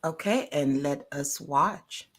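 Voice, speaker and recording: Slow, low-voiced woman hosting radio. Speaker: radio show host. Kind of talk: interviewing on a community radio program.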